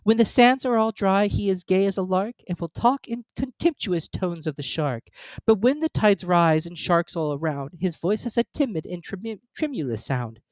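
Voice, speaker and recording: A man's voice: high voice, expressive, normal speed, darkish tone, no echo, voice pushed up. A man voicing a timid, polite and puzzled young girl in a storybook reading, hesitant and earnest. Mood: nervous recital.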